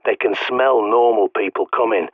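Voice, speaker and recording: Low-voiced English doomsday survivalist over radio. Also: male voice, moderate pace, no echo. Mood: grim, instructing. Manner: blunt, sweary, commanding. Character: gruff paranoid doomsday survivalist man, bossy mentor. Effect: radio transmission filter. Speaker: game character voice actor. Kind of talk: video game voice lines. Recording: muffled narrow-band radio sound.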